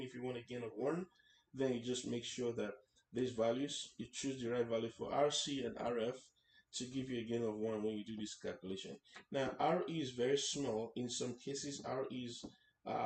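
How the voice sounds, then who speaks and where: A man teaching a hands-on technical tutorial in an informal way, haltingly, often repeating and rephrasing his words. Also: medium pitch, a little mellow. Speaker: instructor hosting an electronics tutorial series. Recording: echoey room, faint background noise.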